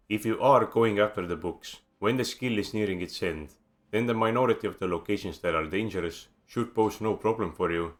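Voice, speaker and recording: A man with an Estonian accent narrating a scripted video game guide in a measured, dryly wry manner, recorded as a close voice-over. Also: deep voice, fairly bright tone.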